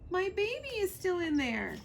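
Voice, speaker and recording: An emotional, tender mother hare from a children's storybook, acted out by a woman reader. The voice sits high and pleads for her young, with clear background noise around it. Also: wildly swooping pitch, moderate pace, full character voice. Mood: desperate, pleading.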